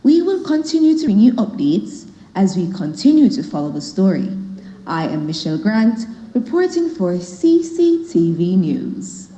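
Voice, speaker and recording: A young woman puts on a formal Jamaican newsreader on a school stage. Her voice is dark and mellow, and her pitch swings widely. The sound echoes around a large hall. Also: very animated, normal speed, natural voice. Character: crisp formal female TV news reporter.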